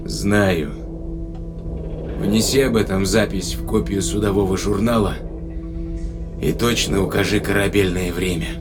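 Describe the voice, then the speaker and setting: A man's voice: low-pitched, fairly even intonation, a little slow, pauses often, over music. A man playing a proud, defiant space traveller in an audio drama, impassioned and declamatory. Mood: grim resolve.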